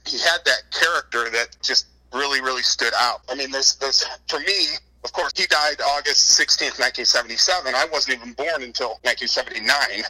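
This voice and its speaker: Male interview guest, middle-aged, very bright forward tone, conversational and wordy storyteller. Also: mid-range voice, husky, rough recording. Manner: wordy, informal, repeats words and says "you know" often. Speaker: interview guest, book co-author. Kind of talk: conversational interview answers, telling anecdotes.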